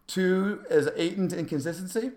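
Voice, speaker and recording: A man's voice: sits high, normal speed, darkish tone. A man co-hosting a sports podcast, chatting informally and animatedly about basketball, with a roomy echo on his voice. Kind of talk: casual basketball discussion. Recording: roomy, echoey sound.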